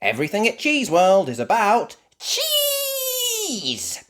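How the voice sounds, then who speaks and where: A woman's voice: fairly high pitch, wildly swooping pitch, takes it slowly, a bit bright, pitched above the performer's own voice, full character voice. A woman playing a cheery lady rabbit tour guide in a children's storybook, announcing emphatically and welcomingly. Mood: cheerful announcing.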